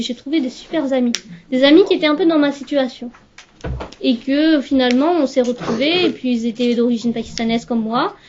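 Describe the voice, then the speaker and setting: A young woman whose first language is Urdu, talking reflectively in an interview and often pausing to search for words. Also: sits high, a little mellow, a little hiss or hum. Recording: slightly muffled recording.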